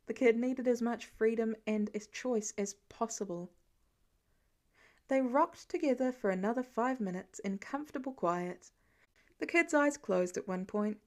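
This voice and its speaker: A woman reading fan fiction aloud as an expressive scripted narration. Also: fairly high pitch.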